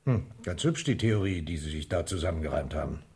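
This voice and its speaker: An actor playing a grumpy, impatient Scotland Yard chief in a German radio crime drama, a man with a husky voice and a brusque, sarcastic manner. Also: low voice, lively intonation, a little fast, a little mellow, heavily acted. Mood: dry, sceptical.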